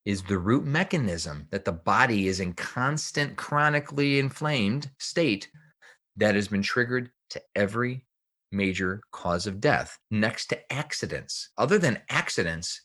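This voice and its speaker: A man's voice: fairly low pitch, expressive, unhurried, a bit bright. A man speaking as an expert guest in a podcast interview, explaining things with emphasis and repeated phrases. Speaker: guest health researcher and author.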